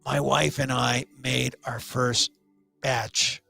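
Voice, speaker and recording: A middle-aged man with a hoarse, scratchy voice, hosting a live online talk show and giving loose, opinionated commentary with restarts. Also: medium pitch, flat delivery, slow, sharp tone, faint music behind.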